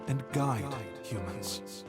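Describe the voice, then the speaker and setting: A man voicing a solemn, idealistic weapon maker and spy in a game monologue, speaking formally and gravely. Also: mid-range voice, drawn-out words, loud music behind the voice. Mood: solemn, resigned. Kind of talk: video game cutscene monologue.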